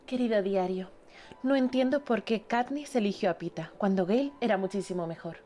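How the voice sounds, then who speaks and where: A woman's voice: mid-range voice, a little fast, dark tone, soft background music, natural voice. An actress playing a lovesick teenage girl writing in her diary. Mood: indignant.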